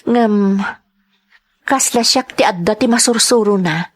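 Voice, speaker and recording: A woman with a Filipino accent playing a troubled, gentle young schoolteacher in an earnest, emotive radio drama style. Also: medium pitch, unhurried, natural voice. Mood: troubled, confiding. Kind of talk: Ilocano radio drama acting.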